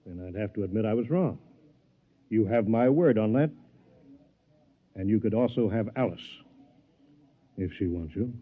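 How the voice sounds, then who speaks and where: An actor playing an arrogant, scornful wealthy rival in an old-time radio drama, with a haughty, emphatic delivery. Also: male voice, on the low side, expressive, normal speed, pauses often, lightly acted. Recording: tinny, narrow-band old radio recording.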